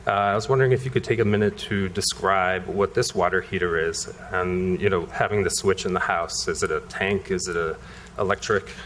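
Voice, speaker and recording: A man in the audience asks a polite question and lays out the background first. His voice is very low, with faint background noise behind it. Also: fairly even intonation, brisk, fairly bright tone.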